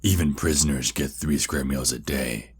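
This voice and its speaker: A man playing a possessive, menacing vampire kidnapper in an ASMR roleplay, speaking intimately and deliberately. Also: extremely low pitch, heavily acted. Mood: taunting, controlling. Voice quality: gravelly, husky, breathy. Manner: slow, intimate, deliberate, with drawn-out pauses.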